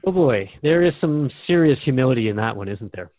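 A man's voice: medium pitch, animated, brisk, no echo. A man speaking as a radio host in a conversational drama dialogue, reflective and earnest. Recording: dry, narrow phone-like sound.

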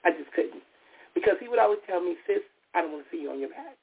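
Raspy woman, radio talk host, gravelly fry, heartfelt and personal, tinny phone-line sound. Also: on the low side, animated, fast talker. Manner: heartfelt, personal, with restarts and stammers. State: recovering from a cold. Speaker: radio show host and therapist. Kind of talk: solo internet radio talk.

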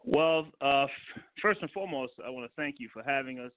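An American man from Washington, D.C., a guest director giving measured, polite, somewhat hesitant interview answers. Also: mid-range voice, dry recording. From Washington, D.C., United States. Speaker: guest film and stage director. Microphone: telephone. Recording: tinny narrow phone line.

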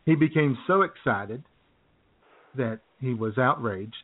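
Tinny phone-band sound, American man, casual radio co-host, halting joky storyteller, mid-pitched. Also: animated. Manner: joking, halting storytelling with throat-clearing. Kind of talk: casual comic chat, telling anecdotes.

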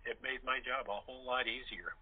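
A man speaking in a halting, plain-spoken way as an interviewed director. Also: medium pitch, fairly even intonation, slightly rough. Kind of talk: casual interview chat. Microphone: telephone or internet call. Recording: thin telephone-like sound.

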